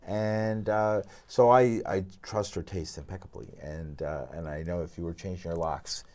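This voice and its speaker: Low-voiced male radio host, halting. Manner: relaxed, halting with many fillers. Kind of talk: radio interview. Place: radio studio.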